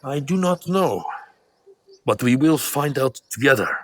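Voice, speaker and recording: Seasoned male ninja mentor, breathy rough tone, encouraging, fatherly, game drama. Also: medium pitch, expressive, measured pace, a bit bright. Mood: fatherly, gentle. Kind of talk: video game cutscene acting.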